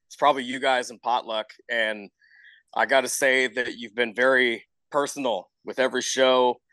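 A man's voice: medium pitch, fairly bright tone, no room sound. An American man hosting a podcast, casually promoting events and chatting with guests. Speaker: podcast host.

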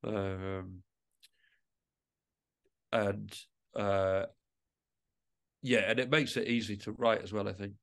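A middle-aged man with a fairly low voice talks as a guest in a podcast interview. He thinks as he goes, often pausing and starting a phrase again in a conversational, reflective way. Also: fairly even intonation, gravelly, fairly bright tone. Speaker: guest musician, composer and writer.

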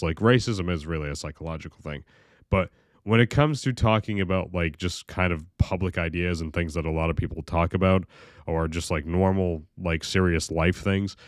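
Deep-voiced young man rambling casually. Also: no echo, clean studio sound. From United States. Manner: rambling, lots of fillers and restarts, swears casually. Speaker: video creator. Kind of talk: casual monologue vlog.

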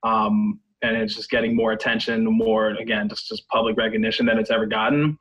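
A man speaking as a guest on a podcast, thoughtful and steady, with small restarts. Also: fairly low pitch, brisk, husky, highs cut off. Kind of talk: podcast discussion.

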